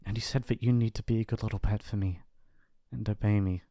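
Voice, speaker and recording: A man's voice: on the low side, fairly even intonation, quick pace, no echo, lightly acted. Guy playing awkward shy mafia prince with a crush, apologetic and rambly, asmr roleplay, really dry close recording. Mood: reluctant, earnest. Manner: hesitant, rambling, soft-hearted and awkward. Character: shy, lovestruck young mafia boss's son, apologetic. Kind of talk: intimate ASMR roleplay monologue.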